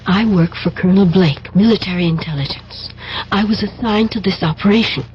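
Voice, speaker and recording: A woman plays a cool female double agent in an old radio drama. Her delivery is controlled and guarded, at an average pitch for a woman. The recording is dull with faint noise. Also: a little fast, close to an everyday voice. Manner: controlled, evasive, persuasive. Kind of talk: 1970s radio drama acting.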